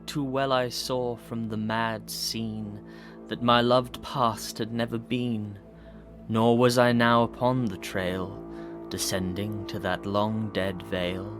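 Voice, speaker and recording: Low, slow, grave man. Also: little pitch movement, over music. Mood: grave, brooding. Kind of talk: audio drama acting, recitation.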